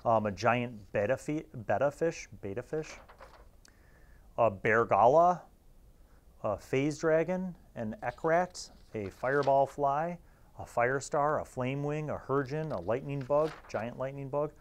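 A man's voice: mid-range voice, unhurried. A man in his forties giving casual, chatty review commentary in an informal, jokey, slangy geek manner. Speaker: host of a magazine review series.

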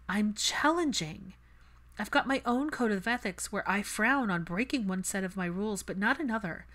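Stubborn adult daughter, played by a woman narrator, emphatic, impassioned, argumentative, raised pitch, audiobook storytelling. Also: fairly bright tone. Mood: frank, exasperated. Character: Hermione, stubborn, impassioned adult daughter standing her ground.

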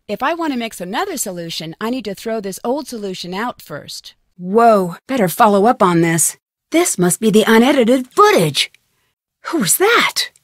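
A woman playing a young, inquisitive and determined female amateur detective in a video game, speaking her scripted lines clearly. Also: on the high side, no echo, studio quality. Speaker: voice actress in a detective adventure game.

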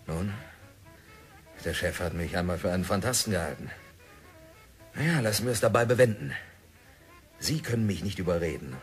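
A stubborn diamond prospector. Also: male voice, low voice. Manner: defiant, self-assured, sardonic. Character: stubborn obsessed male diamond prospector, middle-aged. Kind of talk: 1960s radio drama acting. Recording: old radio play with faint music.

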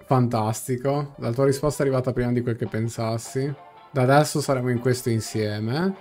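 A young Italian man, a game streamer, casually reacting and translating dialogue aloud in a halting way, often rephrasing. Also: medium pitch, fairly even intonation, darkish tone. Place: small indoor room. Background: faint game music.

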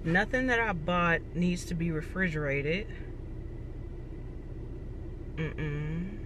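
A woman's voice: mid-range voice, noisy background. A woman, chatting casually to her own camera, rambling and joking about her day. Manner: chatty, rambling, self-deprecating asides. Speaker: vlogger. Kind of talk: casual vlog talking to camera. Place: home, then in her car. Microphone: phone or camera, self-filmed.

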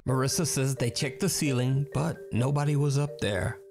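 A man's voice: medium pitch, normal speed. Man narrating ghost videos over music. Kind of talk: scripted narration. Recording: played video with music behind.

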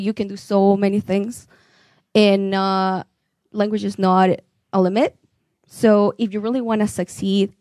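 A woman's voice: mid-range voice, gravelly, darkish tone, no room sound, clean studio sound. A young woman in her twenties from Ecuador, giving earnest, encouraging advice to students into a handheld mic in a conference room. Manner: earnest, encouraging, many fillers. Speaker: graduate speaker.

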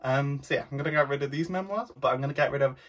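A young man chatting casually to camera, rambling with exaggerated rants while his voice is going hoarse. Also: mid-range voice, very fast, fairly bright tone. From Britain. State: losing his voice. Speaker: book vlogger.

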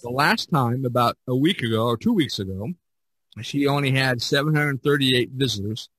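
Man, podcast host, folksy, rambling, bright tone, mid-pitched, dry recording. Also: animated, moderate pace, breathy edge, dry recording, poor audio. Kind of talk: casual chat, reading out figures.

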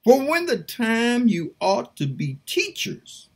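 A woman's voice: on the high side, sing-song, breathy edge. A woman preaching and praying aloud, reading scripture in a fervent, pleading manner. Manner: fervent, pleading, slow and drawn out. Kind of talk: impassioned prayer and scripture reading.

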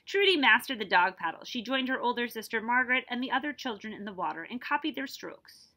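Bright, animated woman reading a picture book aloud. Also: a little high, fast talker. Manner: expressive, emphatic, stretching key words. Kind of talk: picture-book read-aloud with explanatory asides for children. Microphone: device camera at about arm's length.

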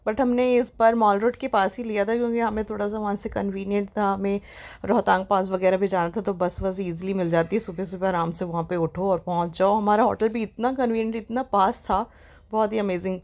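A young woman talks through her trip in a casual, chatty voice-over, quick to get excited. Her pitch sits in the middle of the usual range. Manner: chatty, excitable, mixes English into Hindi. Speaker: travel vlogger. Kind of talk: casual travel vlog voice-over.

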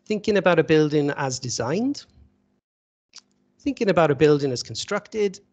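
A man lecturing at an online webinar, explaining conversationally with rhetorical questions over a video call. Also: on the high side. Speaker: university lecturer presenting at a webinar. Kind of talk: informal slide-led lecture. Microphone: video call microphone.

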